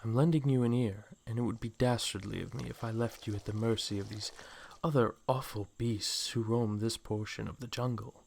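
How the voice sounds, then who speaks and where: A man's voice: lowish voice, steady tone, moderate pace, vocal fry, darkish tone, a little hiss or hum. Man voicing a suave, possessive snake man. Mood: smooth persuasion. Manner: flowery, coaxing, condescending endearments. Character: suave, possessive snake man courting his captive love. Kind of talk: fan comic dub acting.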